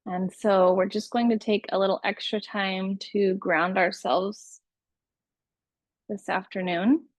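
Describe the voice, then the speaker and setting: Female meditation guide, very slow and soothing, mid-range pitch, close dry recording. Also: fairly even intonation, dry recording. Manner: unhurried, soothing instructional delivery with pauses. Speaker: yoga nidra meditation teacher.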